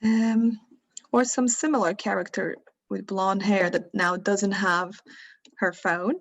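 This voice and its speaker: Slow-talking woman. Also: mid-range voice, steady tone. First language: Croatian. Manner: thinks aloud while working, asks learners questions. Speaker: workshop instructor. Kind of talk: live online tutorial.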